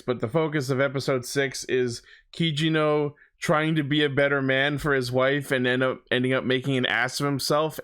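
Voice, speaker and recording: A man's voice: medium pitch, fairly bright tone, clear, resonant tone. A man co-hosting a podcast, giving a casual fan recap in an opinionated, sweary way. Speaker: podcast host.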